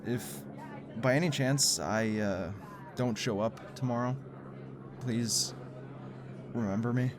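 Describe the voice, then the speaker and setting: A man playing an earnest, anxious male conspiracy theorist in an audio drama, halting and stammering when worried. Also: medium pitch, crowd noise, natural voice. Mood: subdued.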